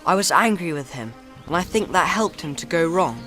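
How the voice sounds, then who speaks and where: A man's voice: fairly high pitch, animated, moderate pace, sharp tone, soft background music. A young man playing an earnest eldest brother in an audio drama, hesitant yet dutiful. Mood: contrite.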